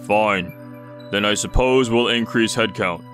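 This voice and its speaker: A man's voice: mid-range voice, normal speed, music in the background, lightly acted. A man playing a stubborn, weary middle-aged company boss in a manga dub, dismissive and grudging. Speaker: dub voice actor.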